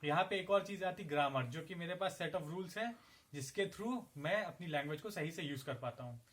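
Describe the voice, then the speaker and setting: A man's voice: on the high side, lively intonation, normal speed, fairly bright tone. A male assistant professor with an Indian accent giving a classroom lecture in Hindi and English, explaining step by step and asking and answering his own questions.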